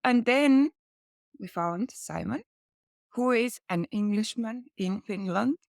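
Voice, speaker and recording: A woman's voice: fairly high pitch, lively intonation, vocal fry, dry recording, studio quality. A woman host, upbeat and encouraging as she interviews over a video call. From Estonia. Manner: upbeat, quick to say "nice".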